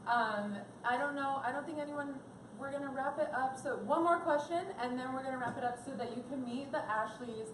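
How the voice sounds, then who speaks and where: An upbeat woman host leads a live panel, her voice fairly high and encouraging. The room echoes around her. Clear background noise from the shop hangs behind. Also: a little fast. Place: coffee and comics shop event.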